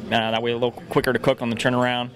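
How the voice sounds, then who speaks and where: A man's voice: medium pitch, level tone, rapid-fire. A man in his thirties from a restaurant answering interview questions in a pub, friendly and hesitant with many fillers. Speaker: restaurant staff. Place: pub dining room, indoors. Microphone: host's handheld mic. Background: crowd chatter.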